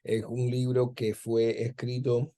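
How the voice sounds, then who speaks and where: A man's voice: mid-range voice, monotone, takes it slowly, dark tone. A man hosting a conversational interview, phrasing his questions in a hesitant, circling way. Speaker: interview host, film director.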